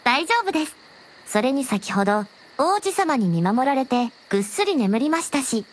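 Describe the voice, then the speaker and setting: A woman playing a gentle, very polite dragon princess with a softly teasing manner in a scripted audio drama. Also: high voice, sing-song, normal speed. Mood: gentle, earnest. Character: gentle polite dragon princess, a shrine maiden's alternate self.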